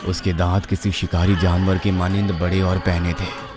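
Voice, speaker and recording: A man's voice: low-pitched, flat delivery, normal speed. A man telling a scripted horror story as a dramatic narrator. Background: music.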